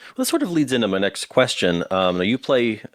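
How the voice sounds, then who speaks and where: A man's voice: medium pitch, huge pitch swings, a little fast, dry recording, studio quality. A man hosting a casual interview, chatting and putting questions to his guest. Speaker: interview host.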